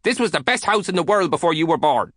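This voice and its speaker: A man's voice: on the high side, big pitch swings, fast, fairly bright tone, dry recording, studio quality, pitched above the performer's own voice. Man voicing a scornful, bossy Irish older sister. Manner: taunting, insulting.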